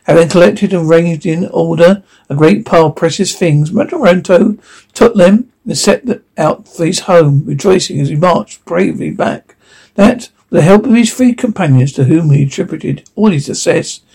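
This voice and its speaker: A man reads a fairy tale aloud with a husky, creaky voice. His tone is soft and dark, set a little higher than most men's. The delivery is halting, with stumbles over words. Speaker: story reader.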